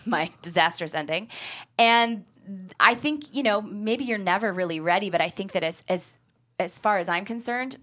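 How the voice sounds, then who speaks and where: A woman's voice: mid-range voice, a bit bright. A woman screenwriter talking candidly and emphatically as a guest in a panel discussion, often laughing through her words. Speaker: screenwriter and guest being interviewed. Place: talk show studio after a table read.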